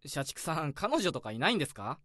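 A man's voice: a little high, sing-song, a little fast, fairly bright tone, no echo, clean studio sound. An actor playing a brash, complaining young male office worker in his twenties in a radio drama.

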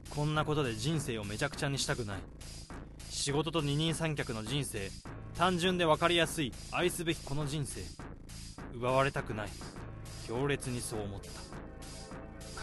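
A man's voice: medium pitch, animated, normal speed, music in the background, lightly acted. A man voicing a harried office worker who narrates in first person as he hides his boss's body, in a tense radio-drama monologue. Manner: tense inner monologue, rising to frantic self-pep talk. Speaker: narrator and protagonist.

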